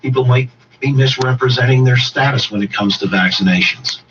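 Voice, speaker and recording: A man hosting a radio interview, asking formal, wordy questions in a measured way. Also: medium pitch. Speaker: radio talk show host. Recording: distant, muffled, noisy radio playback.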